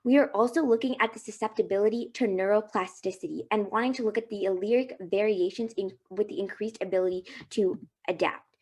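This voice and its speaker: A woman's voice: medium pitch, fairly even intonation, fairly quick, darkish tone, slightly rough. A young woman from Delaware presenting a student research proposal, reading earnestly from a script with small slips.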